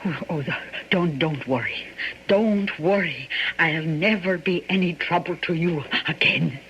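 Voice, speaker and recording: An actress playing a bitter, jealous, hard-drinking wife in a radio mystery, with a dramatic, accusing manner. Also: female voice, lowish voice, unhurried, a bit bright, muffled recording, a little hiss or hum. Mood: tender, relieved. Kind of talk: old-time radio mystery acting.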